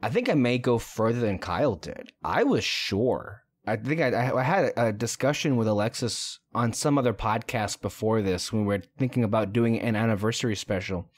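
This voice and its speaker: Man with a lively, animated voice. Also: on the low side, moderate pace, hardly any pauses. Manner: reflective, thinks aloud with restarts. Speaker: podcast co-host. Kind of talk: casual chat.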